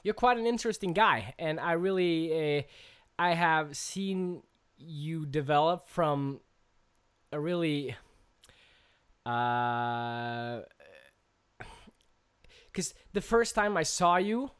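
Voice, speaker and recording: A man hosting a podcast, asking questions in a halting way and stumbling over his words. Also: a little high, expressive, a bit bright, clear, resonant tone. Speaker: podcast host. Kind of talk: casual interview chat.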